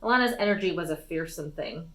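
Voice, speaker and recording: A woman reading a fantasy novel aloud as an expressive storytime narration. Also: low-pitched, quick pace. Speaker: storytime reader.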